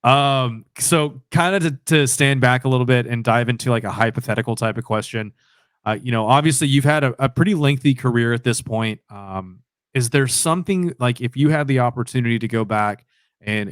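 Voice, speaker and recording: A man's voice: on the low side, clear, resonant tone, no echo, clean studio sound. A young man hosting a podcast from home, asking long, winding questions in a chatty way. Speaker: podcast host. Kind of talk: podcast interview. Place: home room with headset mic. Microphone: studio mic on stand.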